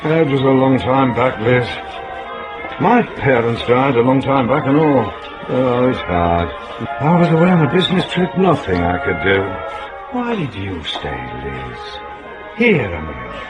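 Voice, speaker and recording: A man's voice: mid-range voice, sing-song, a little slow. An actor playing a flash, breezy British businessman back in town, in a radio drama with a slightly dull recording. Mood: nostalgic, coaxing. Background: music.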